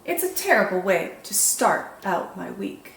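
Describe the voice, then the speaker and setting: A woman's voice: mid-range voice, sing-song, measured pace, gravelly, fairly bright tone. Echoey storytime woman reading aloud expressively. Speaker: children's storytime host reading picture books. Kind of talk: expressive read-aloud storytelling for young children. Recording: echoey room, faint background noise.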